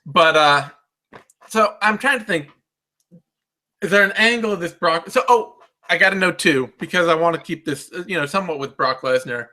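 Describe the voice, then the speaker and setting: A man's voice: a little high, normal speed, bright, forceful tone. A man hosting a casual sports chat in a rambling, self-deprecating way. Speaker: host.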